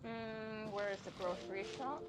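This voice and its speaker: A woman's voice: mid-range voice, moderate pace, very noisy. A woman doing casual live gameplay commentary, muttering to herself and swearing offhandedly, with game music behind her. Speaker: game streamer.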